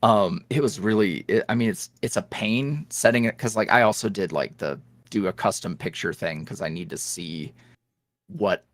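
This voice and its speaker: A man's voice: fairly low pitch, moderate pace, dry recording. Rambling young man on a headset. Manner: rambling, many restarts and likes. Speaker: guest, ASMR roleplay creator. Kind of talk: casual interview chat.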